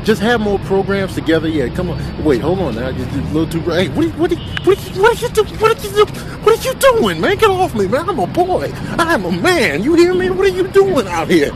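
A man's voice: sits high, sing-song, brisk, music in the background. A man voicing an exasperated, self-important dog in a comedic animal voiceover, stammering and repeating himself indignantly.

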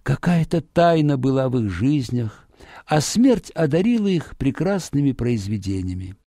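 A man narrating a fairy tale for children in an expressive, dramatic storytelling manner. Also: mid-range voice, normal speed, dry recording, studio quality.

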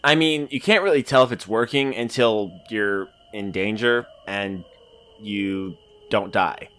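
A man's voice: lowish voice, a little slow, fairly bright tone. A young man playing a cocky, playful sidekick in a comic dub, talking in a breezy, joking way. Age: young adult. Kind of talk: animated comic dub acting.

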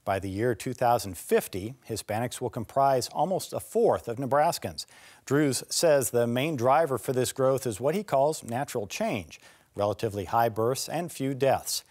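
A middle-aged male host introduces the program and puts questions to his studio guests. He speaks in a measured, clear way at an ordinary mid pitch. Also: animated, a little mellow. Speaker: TV news host. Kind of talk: scripted presenting and interview questions. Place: TV studio set. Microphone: lapel.

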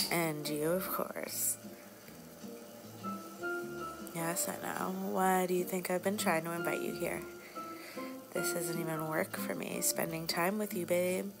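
Woman playing a low, creaky, flirty bar hostess. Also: low-quality recording. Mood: warm, confiding. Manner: casual, teasing, drawling asides. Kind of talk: soft-spoken ASMR roleplay. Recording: music behind the voice.

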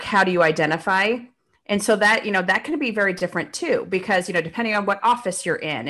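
Low-voiced woman explaining on a video call. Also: a bit bright. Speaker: podcast co-host. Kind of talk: casual conversation and explaining a slide. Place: home living room indoors. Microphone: desk microphone on a video call.

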